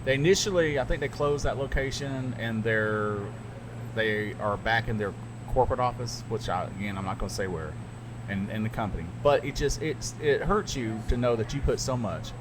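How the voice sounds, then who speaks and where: A mid-pitched man hosts a loose podcast conversation, telling his stories bluntly and with emphasis, often restarting his sentences. A clear hiss of background noise sits under his voice throughout. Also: moderate pace. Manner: candid, emphatic, storytelling with restarts. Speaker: podcast host and radio broadcaster. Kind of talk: casual chat. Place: radio studio.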